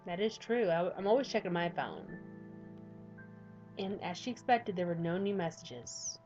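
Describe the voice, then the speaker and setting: A woman's voice: fairly low pitch, expressive, normal speed, darkish tone, lo-fi sound, faint music behind. A woman reading a visual novel aloud with rambling, chatty commentary from a home desk mic. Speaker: gaming youtuber.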